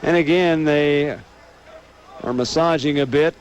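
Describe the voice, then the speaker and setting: American man, fairly high voice, radio play-by-play announcer, live football commentary. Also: measured pace, highs cut off, slight background noise.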